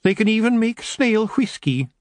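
A man voicing a shrewd, stern fairy clan mother with a Scottish accent, sharp and commanding. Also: high voice, animated, moderate pace, dry recording, clean studio sound, pitched above the performer's own voice. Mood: hearty.

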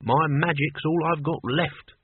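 A man's voice: medium pitch, expressive, a little slow, husky. A man voicing a wary old wizard, a cackling miser whose defiance is crumbling, in an audiobook story. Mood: fearful defiance. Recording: muffled, echoey, phone-like radio sound.

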